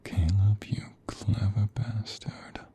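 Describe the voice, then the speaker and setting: A man playing a tender, protective mobster fiancé in an ASMR roleplay, hushed and intimate, reassuring the listener in a breathy murmur. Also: on the low side, fairly even intonation, slow. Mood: hushed, focused. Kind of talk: ASMR roleplay audio drama.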